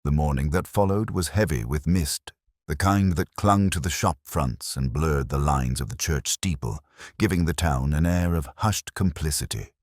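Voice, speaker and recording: A man narrating a mystery story in a measured, literary manner. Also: extremely low pitch, darkish tone, no echo, studio quality.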